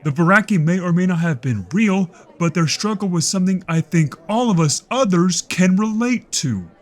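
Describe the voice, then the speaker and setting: An actor playing a smug political speechmaker for an alien rights group in an audio drama. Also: male voice, high-pitched, expressive, normal speed. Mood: defensive, smug.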